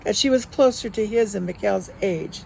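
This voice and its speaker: Woman, creaky voice, mid pitch, expressive story narration, dramatic delivery, faint background noise with crickets. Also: normal speed, poor audio. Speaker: author reading own novel aloud. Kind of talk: expressive audiobook-style narration.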